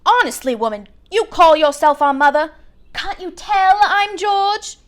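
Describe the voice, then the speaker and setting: A woman's voice: very high-pitched, lively intonation, measured pace, voice pushed up. A woman voicing a cheeky teenage twin boy in a storybook, teasing and emphatic with mock indignation. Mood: mock indignant.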